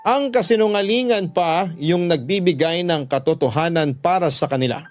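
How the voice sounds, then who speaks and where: A man's voice: on the high side, moderate pace, soft background music. A man with a Filipino accent narrating a first-person confessional story for radio, earnest and sorrowful. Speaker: radio drama narrator reading a letter sender's story.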